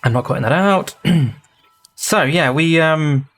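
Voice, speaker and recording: A man's voice: fairly high pitch, slow, fairly bright tone, soft background music. A man hosting his gaming channel, rambling and thinking aloud in casual gameplay commentary.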